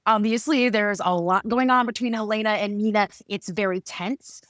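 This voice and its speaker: A woman's voice: medium pitch, fairly even intonation, moderate pace, a bit bright, dry recording, studio quality. A woman hosting a casual podcast interview in a chatty, enthusiastic way. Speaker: podcast host interviewing show creators.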